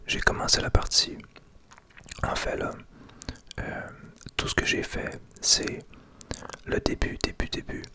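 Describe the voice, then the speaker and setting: A man with a Québécois French accent whispering softly and unhurriedly to viewers in an ASMR gameplay commentary. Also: takes it slowly, long pauses. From Quebec. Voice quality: soft unvoiced whisper. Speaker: video game streamer making ASMR gameplay videos.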